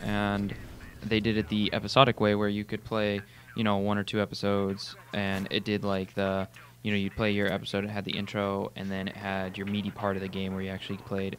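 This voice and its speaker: A man with a lowish voice hosts a casual video game discussion. His intonation stays flat as he frames questions for his guest, and faint noise sits behind him in the recording. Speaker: host asking questions. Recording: noticeable background noise.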